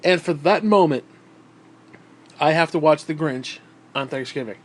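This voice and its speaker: A man's voice: fairly high pitch, a little slow. A man with a stuffed-up, nasal voice, talking in a bitter, profane, rambling monologue into a tablet. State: stuffed-up nose. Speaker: vlogger.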